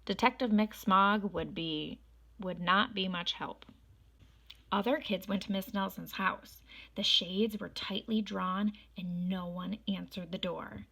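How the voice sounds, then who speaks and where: A woman reading a children's storybook aloud in expressive narration. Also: medium pitch, takes it slowly, vocal fry. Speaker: storybook reader.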